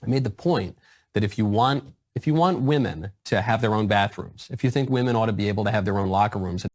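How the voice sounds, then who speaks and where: A man with a fairly low voice delivers a speech to a conference crowd. He talks firmly and declaratively, stating each point flatly. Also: brisk. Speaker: political speaker.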